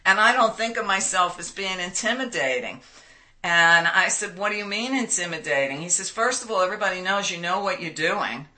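A woman in her sixties with a loud voice, telling stories animatedly in an interview and laughing often. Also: lowish voice, animated, fairly quick. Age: 60s. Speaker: guest. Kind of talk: conversational interview.

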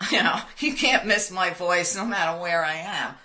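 A woman in her sixties with a loud voice, telling stories animatedly in an interview and laughing often. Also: fairly low pitch, a little slow. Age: 60s. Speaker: guest. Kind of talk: conversational interview.

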